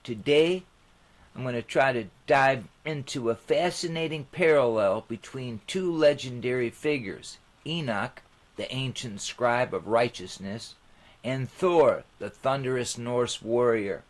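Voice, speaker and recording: A man hosting his own channel, talking informally to viewers in a rambling, halting way. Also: mid-range voice. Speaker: channel host.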